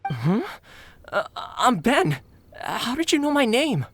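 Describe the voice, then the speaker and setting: A man's voice: extremely high pitch, very animated, slow pace, a bit bright, a little hiss or hum, full character voice. A man voicing a worried, friendly young delivery man in a video game, chatty and earnest. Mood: relieved, worried. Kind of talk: anime video game voice acting. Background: game music.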